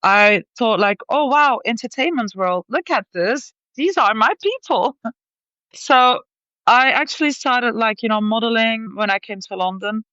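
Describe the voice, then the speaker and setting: A woman guest from Belgium, telling her story in an animated way with lively asides. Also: fairly high pitch, unhurried, no echo, dull audio. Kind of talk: conversational interview.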